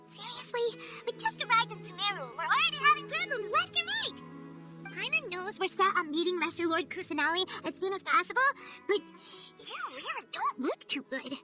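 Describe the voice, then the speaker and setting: A woman voicing a tiny, excitable, fretful fairy-like girl companion in a video game, with a squeaky, childlike voice and exclamatory delivery. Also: moderate pace. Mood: worried, indignant. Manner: exclamatory, refers to self in third person. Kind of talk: video game cutscene acting. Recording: music behind the voice.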